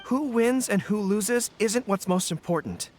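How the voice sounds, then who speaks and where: A man's voice: sits high, animated, measured pace, darkish tone. A man voicing a sincere, loyal retainer in a video game, speaking formally and respectfully, with music behind him. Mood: earnest. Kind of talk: video game dialogue acting.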